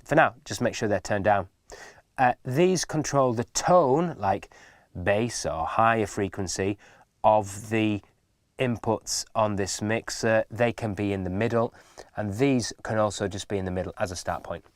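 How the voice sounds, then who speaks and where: A man's voice: on the low side, measured pace, no echo, clean studio sound. A man giving an informal, hands-on tutorial, explaining things patiently step by step. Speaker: instructor. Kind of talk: casual spoken hands-on tutorial.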